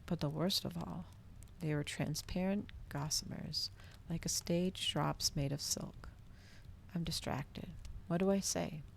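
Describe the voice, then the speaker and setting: A woman reads a story in a cozy ASMR style. Her voice is low-pitched and noticeably creaky, with a soft delivery that drifts toward whispering. There is faint noise behind her. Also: fairly even intonation, unhurried. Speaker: streamer reading a novel aloud.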